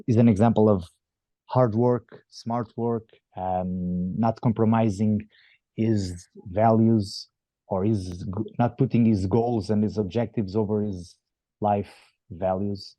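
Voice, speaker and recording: Portuguese man, 40s, low mellow voice, dry close recording, thoughtful halting interview guest. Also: no echo. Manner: thoughtful, halting, many restarts and fillers. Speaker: athlete guest. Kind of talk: interview answers.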